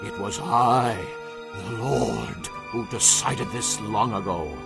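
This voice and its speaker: An actor playing a stern prophet who declares God's word in a solemn, emphatic, declamatory way for a dramatized audio Bible. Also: male voice, fairly low pitch, slow pace, vocal fry, music in the background. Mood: commanding, wrathful.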